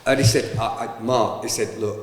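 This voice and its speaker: Man, lively teller who does the voices, recorded in a reverberant space. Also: on the low side, moderate pace. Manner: animated, acts out voices in his stories. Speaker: panto actor. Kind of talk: anecdotal storytelling. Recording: roomy, echoey with long reverb.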